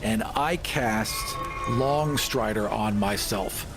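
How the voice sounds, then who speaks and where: A man's voice: lowish voice, slow. A man playing a tabletop game, casually narrating what his character does.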